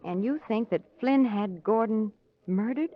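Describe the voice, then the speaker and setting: A woman's voice: fairly high pitch, measured pace. An actress playing a poised, inquisitive, quipping female companion to a detective in an old-time radio drama. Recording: dull old radio recording.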